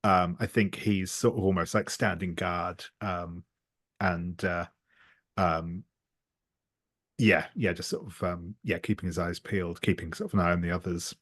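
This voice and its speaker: A man playing a tabletop game, describing his character's actions in a halting, tentative, casual manner. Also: sits low, fairly even intonation, a bit bright, no echo. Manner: halting, tentative, many trailing phrases. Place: remote online group call.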